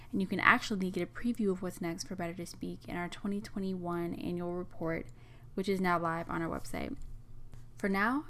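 A young woman introduces her podcast episode in an earnest, measured tone. Her voice sits a little low. She sounds like she is reading from a prepared script. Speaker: podcast host.

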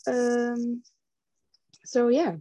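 A woman's voice: a little high, monotone, drawn-out words, mellow, no echo. A young woman, chatting casually as an interview guest. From Netherlands. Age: young adult. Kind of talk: casual chat.

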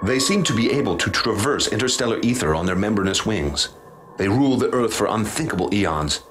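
An actor playing a haunted male professor, speaking in a formal, measured, ominous way in a radio drama. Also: fairly low pitch, expressive, music in the background, close to an everyday voice. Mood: grave, measured. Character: grave haunted male professor recounting horrors he witnessed. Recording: clear background noise and ambience.

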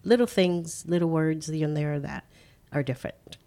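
Low gravelly older woman. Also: mellow. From Caribbean. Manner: unhurried, halting with fillers. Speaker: guest. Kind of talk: casual interview chat.

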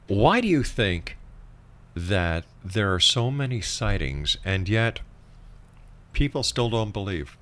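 A man's voice: on the low side, sing-song, slow pace, fairly bright tone. A man hosts a radio talk show. He presents to listeners and puts questions to a guest in interview style.

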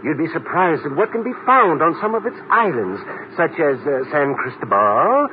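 Flustered absent-minded professor, 1950s radio play, high swoopy voice, fussy n rambly, muffled noisy recording. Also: male voice, sing-song, moderate pace. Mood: dithering, polite. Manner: fussy, rambling, repeating himself, trailing off. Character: dithering, apologetic, absent-minded male botany professor. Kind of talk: 1950s radio drama acting.

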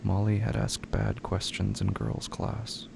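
Male horror narrator, low and intensely gravelly, deliberate and ominous. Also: flat delivery, background noise.

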